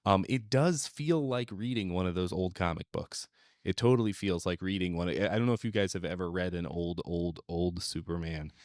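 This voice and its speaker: A man co-hosting a podcast, chatting casually and haltingly as he thinks aloud. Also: sits low, darkish tone, dry recording, clean studio sound. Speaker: podcast co-host. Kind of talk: casual chat.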